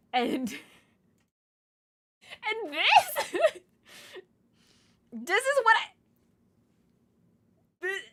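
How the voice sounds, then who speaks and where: Bright, animated hoarse woman streamer. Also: high voice. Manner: animated, exasperated, laughing reactions. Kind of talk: live game commentary and reading aloud.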